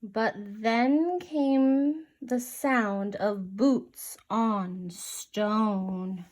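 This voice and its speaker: A woman reading a children's picture book aloud in an expressive narrating style. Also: medium pitch, extremely slow. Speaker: storybook reader.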